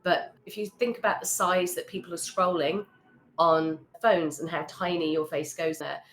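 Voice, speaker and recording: A woman's voice: on the low side, fairly even intonation, moderate pace, a bit bright, slight background noise, poor audio. Upbeat, chatty woman host. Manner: upbeat, chatty, with frequent laughs. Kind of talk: casual interview, giving brand feedback.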